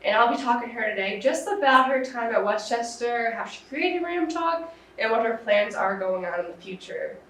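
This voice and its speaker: A woman's voice: a little high, lively intonation, moderate pace, a bit bright, distant mic, a little hiss or hum. Stuffy young woman host in echoey room. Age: young adult. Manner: friendly, with many "mm" backchannels. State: stuffy nose, a little nasal. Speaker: host, student interviewer. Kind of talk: casual interview hosting. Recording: very echoey room, away from the mic.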